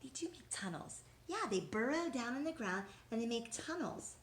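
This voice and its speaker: A woman's voice: on the high side, big pitch swings, normal speed. A woman teaching young children in an encouraging way, asking questions, answering them as if replying to the children and repeating key words. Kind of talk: interactive lesson for young children.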